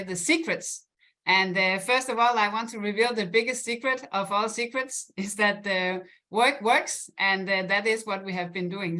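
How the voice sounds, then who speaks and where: A woman's voice: medium pitch, sharp tone. A woman from Denmark giving an upbeat presentation on a business call, with frequent fillers and light laughter. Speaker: guest presenter.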